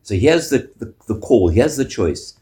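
A man with a South African accent preaching a sermon as pastor, measured, often ending points with a questioning "eh?". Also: on the low side, sing-song, mellow.

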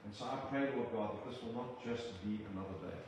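Older South African male preacher; earnest, halting delivery; distant mic, big church reverb. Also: on the low side. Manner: earnest, halting, with frequent restarts. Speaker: visiting preacher. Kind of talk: sermon with prayer. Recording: very echoey church from a distant mic with room noise.

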